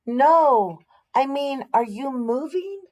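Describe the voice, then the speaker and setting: A woman's voice: sits high, lively intonation, slow pace, a little mellow, pitched above the performer's own voice. A woman voicing a curious, earnest young girl in a storybook, questioning and protesting. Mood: anxious questioning.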